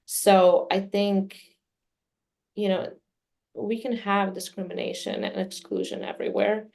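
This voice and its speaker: A woman whose first language is Serbian, answering interview questions in a measured way with many fillers. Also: medium pitch, flat delivery, gravelly, a little mellow. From Midwest US. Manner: measured, many fillers, speaks of herself personally. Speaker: communications director, interview guest.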